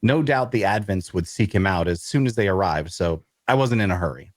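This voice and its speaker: An actor playing an awkward, bookish loner adventurer who narrates an audio drama in a wry way. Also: male voice, deep voice, lively intonation, brisk, no room sound, clean studio sound. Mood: wry narrating. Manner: wry, hesitant in conversation. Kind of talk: first-person narration and dialogue in audio drama.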